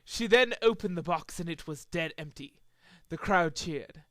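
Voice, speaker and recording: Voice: mid-range voice, lively intonation, measured pace, a bit bright, dry recording. An amateur reader narrating a fan fiction story as a dramatic reading, with occasional stumbles over the text.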